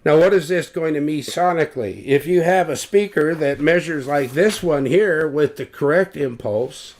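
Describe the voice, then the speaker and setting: An older man slowly explaining loudspeaker measurements, talking deliberately with fillers and restarts, recorded at home on a webcam. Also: fairly high pitch. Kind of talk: technical explanation with drawings. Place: home living room, indoors.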